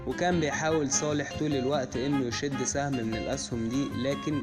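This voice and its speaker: A man with an Egyptian Arabic accent retelling a cartoon story for children, in a plain, steady way that chains one event to the next. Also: mid-range voice, fast talker. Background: music.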